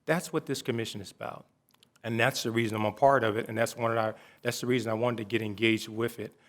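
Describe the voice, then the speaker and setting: A middle-aged man giving earnest, halting remarks as a commission member at a city council meeting, with frequent restarts. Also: mid-range voice, steady tone.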